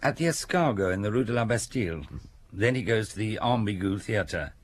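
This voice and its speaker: Husky, earnest police sergeant. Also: male voice, on the low side, animated, brisk. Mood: matter-of-fact, dutiful. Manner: matter-of-fact, conversational, musing. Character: earnest, puzzled male police subordinate reporting to chief. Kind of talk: radio drama acting.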